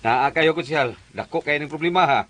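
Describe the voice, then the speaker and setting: A man's voice: fairly high pitch, brisk, fairly bright tone. A man playing a harried village captain confronting a wayward official in a comedy drama, with a Cebuano Filipino accent. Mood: grave. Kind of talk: comedic radio drama acting.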